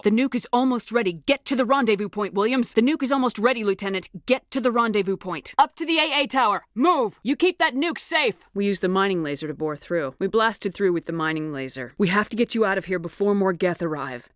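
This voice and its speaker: A woman's voice: medium pitch, a bit bright, no echo, clean studio sound, natural voice. A woman playing a determined, commanding military officer in a video game, speaking in a firm, clipped, authoritative way. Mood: urgent, shouting. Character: commanding female military officer, steely, determined space soldier. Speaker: voice actor. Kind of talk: video game dialogue acting.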